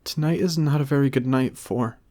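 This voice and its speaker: A man's voice: mid-range voice, a little fast, warm tone, pitched above the performer's own voice, natural voice. A man voicing a frightened young maiden from a storybook, pleading in a theatrical, dramatic way. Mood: fearful. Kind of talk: storybook character reading.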